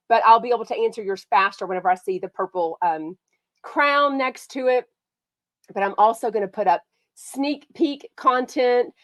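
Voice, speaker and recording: A woman from Texas, hosting a casual gossip livestream. She has a slight cold that makes her sound nasal, and she talks in a bubbly, excitable way. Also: on the high side, no room sound. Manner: bubbly and excitable, lots of "like" and "oh my gosh". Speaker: podcast host.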